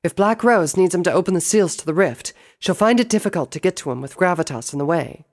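A man's voice: sits high, animated, fast talker, pitched above the performer's own voice. A man voicing a regal, earnest pony princess in a storybook, formal and intense in manner. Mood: questioning, earnest.

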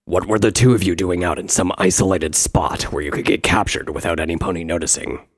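A narrator voicing a stern, menacing male warrior pony captain with a growling, husky voice and a grave, commanding manner. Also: low-pitched, fairly even intonation, a little fast, no echo, clean studio sound, voice pushed down. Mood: stern anger.

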